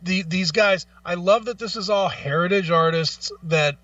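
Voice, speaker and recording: A man's voice: high-pitched, moderate pace, fairly bright tone, no room sound, far from the mic, lo-fi sound. A man co-hosting a radio show in casual, opinionated chat, blunt and freely swearing. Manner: blunt, profane.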